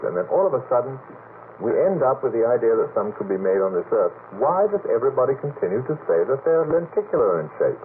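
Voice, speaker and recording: A man speaking as a guest in a radio panel debate, emphatic and a little halting, with old-fashioned turns of phrase. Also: fairly low pitch. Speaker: guest researcher of unexplained sky sightings. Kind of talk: animated late-night radio panel debate. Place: radio studio. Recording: noisy, phone-like old 1950s radio recording.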